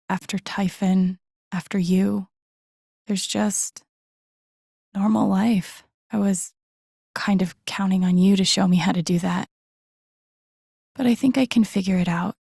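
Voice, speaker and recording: A young video game heroine, earnest and self-doubting, musing to herself in an understated way. Her voice is breathy and husky with a gravelly fry, recorded very dry and close. The delivery is naturalistic, introspective and wry. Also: mid-range voice, mellow, no room sound. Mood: sad, tender. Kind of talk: naturalistic video game voice acting, inner monologue.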